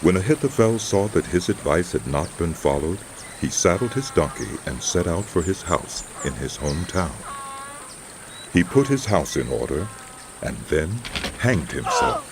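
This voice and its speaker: Deep, gravelly male Bible narrator. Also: sing-song, moderate pace, noisy background. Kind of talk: scripted dramatic Bible narration.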